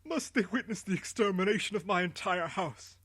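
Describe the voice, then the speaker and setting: A man's voice: high-pitched, fairly quick, fairly bright tone, slightly rough, pitched above the performer's own voice. A man voicing a grieving old father who begs the king to spare his family. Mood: begging. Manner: begging.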